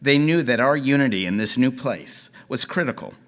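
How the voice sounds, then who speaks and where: A middle-aged man giving a formal, steady prepared speech to guests at a gala. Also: medium pitch, moderate pace. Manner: formal, steady, dignified delivery.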